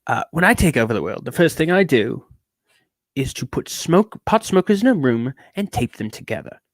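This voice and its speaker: A man's voice: mid-range voice, big pitch swings, moderate pace, no room sound, studio quality. Man putting on a cranky, edgy, theatrical ranter. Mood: contemptuous ranting. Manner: theatrical, cranky, put-on shifting accent. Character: edgy, bitter, ranting neurotic man telling a travelogue. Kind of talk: dramatic reading of a rambling rant.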